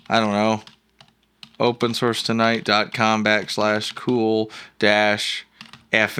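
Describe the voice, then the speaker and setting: A man hosting an informal, jokey, rambling software walkthrough in a casual screencast style. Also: medium pitch, clean tone. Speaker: host of a software review show.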